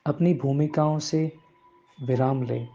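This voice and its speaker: A man leading a guided meditation in slow, measured phrases with long pauses between them. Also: medium pitch, fairly even intonation, dark tone. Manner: repeats some phrases in English. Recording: dull lo-fi sound, music bed. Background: background music.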